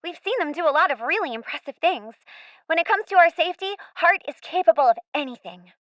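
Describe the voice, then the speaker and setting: A woman's voice: very high-pitched, animated, fast talker, fairly bright tone, dry recording, dull audio. A woman playing an earnest, hopeful young girl castaway in a radio play.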